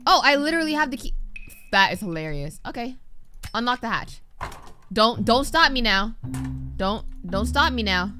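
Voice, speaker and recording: A woman's voice: a little high, moderate pace, long pauses, faint music behind. A young adult woman giving casual, reactive gameplay commentary, talking to the game as she plays and sometimes reading aloud. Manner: animated, reactive, talks to the game. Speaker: gaming streamer.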